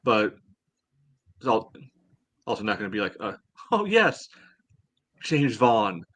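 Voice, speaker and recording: A man co-hosting a casual sports podcast, giving his take in a dry, deadpan way. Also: on the low side, slow. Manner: dry, dull deadpan delivery. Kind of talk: casual panel commentary.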